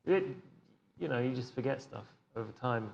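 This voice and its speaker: A man's voice: medium pitch, lively intonation, normal speed, gravelly, a little mellow. A man playing a tabletop game, chatting casually in character. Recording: muffled, noisy live recording.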